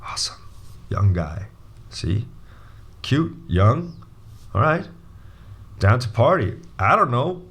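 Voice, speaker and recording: A young man from Brazil giving a casual video lesson as an MBA admissions coach, animated and jokey, often restarting his sentences. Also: mid-range voice, slow pace, pauses often, slightly rough.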